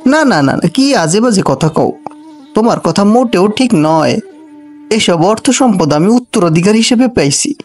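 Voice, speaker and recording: A man's voice: high-pitched, lively intonation, normal speed, darkish tone, faint music behind. A man playing an ungrateful, stingy rich man in a cartoon, brusque and grumbling in colloquial speech. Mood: defensive.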